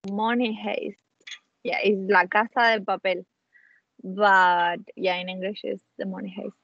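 A woman's voice: medium pitch, steady tone, measured pace, no room sound. A young woman from Chile with a Chilean Spanish accent, speaking English as a second language in a casual chat, often restarting phrases while she hunts for words. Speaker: guest, actress. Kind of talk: casual video-call chat. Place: small room at home, indoors. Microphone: wireless earbuds on a video call. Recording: dull lo-fi call audio.

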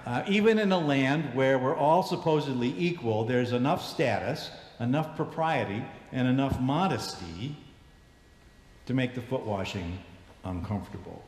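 A man in his sixties, a bishop giving a measured, reflective sermon in a large church sanctuary, his voice falling low at the ends of phrases. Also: expressive, darkish tone. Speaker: bishop preaching. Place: large church sanctuary, standing before the altar. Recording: echoey church with long reverb.

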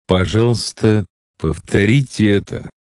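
A man slowly reading out short phrases for a language lesson, with careful, deliberate pronunciation. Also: lowish voice, a little mellow, no room sound, studio quality. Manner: slow, deliberate enunciation. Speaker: Russian phrase reader. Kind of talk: slow scripted phrase reading.

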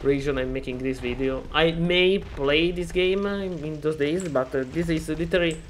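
A man with an Italian accent talks through a PC game tutorial in English, his second language, stumbling and restarting often. His voice sits a bit higher than most men's. A faint hiss and some clicks run under the recording. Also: normal speed. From Italy. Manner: hesitant, many fillers and restarts, self-deprecating. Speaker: gaming tutorial maker. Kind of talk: casual screen-recorded tutorial.

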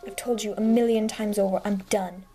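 A woman voicing a withdrawn, stubborn young male cat who talks low and often in a whisper, in a homemade toy-figure drama. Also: fairly even intonation, brisk, warm tone, lightly acted. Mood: refusing. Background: background music.

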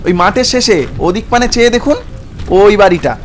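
A man voices a young village goatherd in a cartoon. The boy sounds high-pitched, eager and respectful, repeating his urgings insistently. Faint music runs underneath. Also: lively intonation, moderate pace. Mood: eager, helpful. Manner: coaxing, deferential, repeats urgings. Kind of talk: cartoon voice acting.

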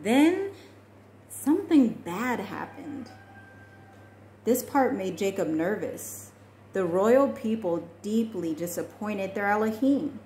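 A woman's voice: mid-range voice, dark tone. Young woman reading a storybook, echoey room. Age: young adult. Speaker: children's book reader. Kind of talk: storybook read-aloud. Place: small indoor room at home. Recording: echoey room with faint noise.

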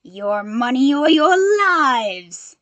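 Cocky male supervillain for an audio story, played by a woman: gleeful, sneering, drawn-out taunts, very dry recording. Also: high voice, animated, a bit bright, dry recording, pitched above the performer's own voice, heavily acted.

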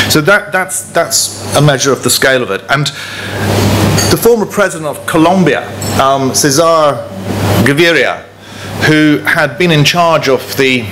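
A man from Northern Ireland, speaking with a soft Northern Irish accent in a mid-range voice, gives a serious talk into a podium microphone. He stumbles over repeated words as he goes, and a steady air-conditioning hiss runs under him in the function room. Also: mid-range voice. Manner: earnest, many restarts and repeated words. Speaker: author giving a talk. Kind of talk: lecture at a podium. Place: club function room, indoors.

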